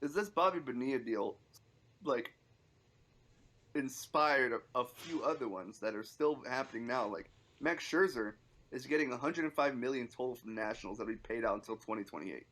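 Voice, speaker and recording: A man in his thirties with a medium-pitched voice talks sports on a podcast. He is informal and conversational, making his points emphatically as he banters. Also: normal speed, fairly bright tone. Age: 30s. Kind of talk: casual sports podcast chat.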